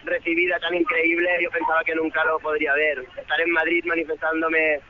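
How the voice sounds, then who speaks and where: A man from Barcelona speaking earnestly and haltingly in an unscripted live interview over a muffled phone line from a street. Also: a little high, flat delivery, slight background noise. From Barcelona, Spain. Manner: earnest, halting with repeated words. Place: outdoors in a busy city square.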